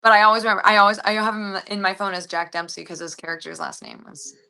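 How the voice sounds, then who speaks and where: A woman's voice: medium pitch, fairly even intonation, quick pace, creaky, bright, forceful tone, clear, resonant tone. A woman chatting casually and enthusiastically as an actress being interviewed.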